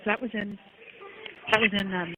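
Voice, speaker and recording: Casting: female inmate on a dull, noisy phone line, earnest testifying delivery, fairly flat intonation, scripture reading. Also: medium pitch, normal speed. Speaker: jail inmate making a phone call. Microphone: telephone handset. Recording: noisy, muffled recorded jail phone call.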